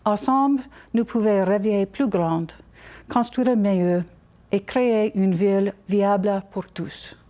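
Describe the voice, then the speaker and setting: A woman with a soft, mellow voice and an English Canadian accent reads a scripted campaign speech. She is a non-native speaker, so the delivery is halting, with small stumbles over the words. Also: mid-range voice. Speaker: city council candidate.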